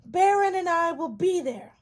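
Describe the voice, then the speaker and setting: A woman's voice: very high voice, expressive, measured pace, pitched above the performer's own voice. A woman voicing a gracious, helpful mother heron in a children's storybook, courteous and appreciative.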